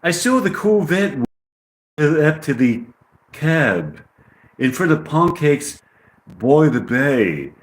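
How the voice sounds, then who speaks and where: An American man voicing an earnest Englishman with an amateur English accent, reading a script haltingly. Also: a little high, very animated, voice pushed up. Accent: amateur put-on English.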